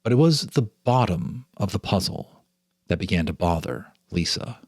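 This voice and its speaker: Guy telling a spooky story, lowish voice, really animated, dry close sound. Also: normal speed, slightly rough, dry recording. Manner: theatrical, suspenseful delivery. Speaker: storyteller, host. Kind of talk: dramatic reading of a ghost story.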